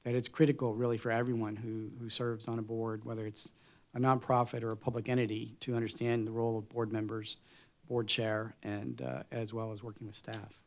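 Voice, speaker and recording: A man answering as a candidate at a public forum, measured and full of pauses and fillers. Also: lowish voice, flat delivery. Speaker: board candidate.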